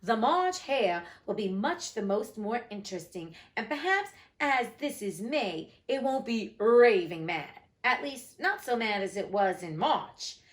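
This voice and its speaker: A woman's voice: a little high, very animated, unhurried, hardly any pauses. A woman voicing a curious, polite and rather timid little girl in a storybook. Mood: musing. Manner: polite, questioning.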